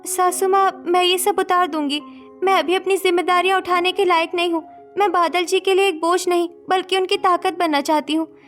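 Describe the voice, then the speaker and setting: A woman's voice: very high voice, steady tone, moderate pace, fairly bright tone, faint music behind. A woman voicing a stubborn, emotional young schoolgirl in a cartoon story, earnest and pleading. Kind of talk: Hindi cartoon story dialogue acting.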